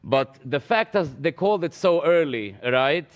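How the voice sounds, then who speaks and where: A man's voice: on the high side, lively intonation, moderate pace, dull audio. A man with a Yiddish accent, Yiddish his first language, hosting live election coverage in casual English sprinkled with Yiddish words. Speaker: election night host.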